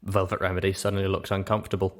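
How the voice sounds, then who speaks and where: A man's voice: low-pitched, completely flat delivery, very fast. A man narrating a story expressively as host of a reading show.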